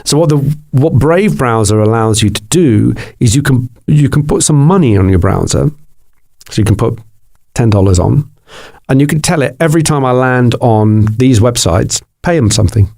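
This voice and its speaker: A man's voice: mid-range voice, lively intonation, moderate pace, creaky, a little mellow. A man who is a guest on a podcast and works as a TV presenter and journalist, talking in a rambling way with many restarts and fillers. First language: Greek. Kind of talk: casual interview chat.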